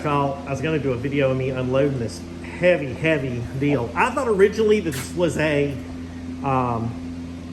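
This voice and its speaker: A man vlogging casually off the cuff in a folksy, rambling, slangy way. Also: on the high side. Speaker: host of a garage and farm vlog. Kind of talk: casual vlog chat, off the cuff. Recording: clearly noisy ambient background.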